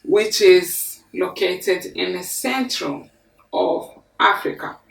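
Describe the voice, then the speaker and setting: Woman from Congo, slow deliberate storyteller, gravelly edge, echoey studio picked up from a distance. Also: fairly low pitch. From Congo DRC. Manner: slow, deliberate, expressive with hand gestures. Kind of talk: personal life story to camera. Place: studio before a green screen. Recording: echoey room, mic at a distance.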